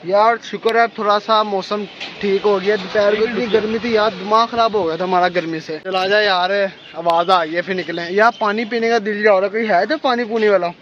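A man vlogging casually and excitedly on a phone while out and about at a show, repeating his catchphrases. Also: high voice, a little fast. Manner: excited, keeps repeating "level" and "oye". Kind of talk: casual vlog chatter. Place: outdoors and at a show venue. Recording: lo-fi phone audio with street noise.

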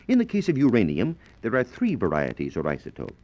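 A man's voice: on the low side, huge pitch swings, a little fast, darkish tone. A man with an American accent presenting a formal, carefully phrased scripted science lecture in 1940s radio style. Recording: dull lo-fi 1940s radio transcription disc.

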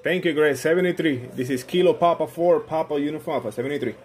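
A man's voice: fairly high pitch, quick pace. A friendly man with a Puerto Rican accent and Spanish as his first language, chatting casually as a ham radio operator and often repeating himself. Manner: friendly, repetitive. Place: indoors at a radio station. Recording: noisy phone recording beside radio.

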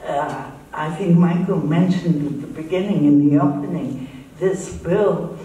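Slow, measured older woman in a hall. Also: low voice, warm tone, slight background noise. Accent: American-sounding English. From Israel. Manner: measured, emphatic, spells out points step by step. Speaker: guest speaker. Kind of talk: live on-stage conversation. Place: stage in a large dark theatre. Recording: echoey hall, voice heard from far off.

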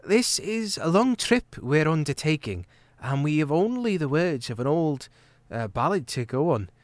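A man's voice: sing-song, normal speed, no echo. A man voicing a curious, anxious young female hedgehog in an audiobook reading. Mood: earnest. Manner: high, questioning, worried.

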